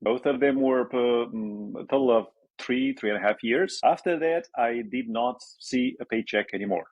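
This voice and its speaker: A man's voice: medium pitch, moderate pace, dry recording, muffled recording. A man in his forties from Romania with a Romanian accent, answering interview questions hesitantly with many fillers and restarts. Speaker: guest entrepreneur.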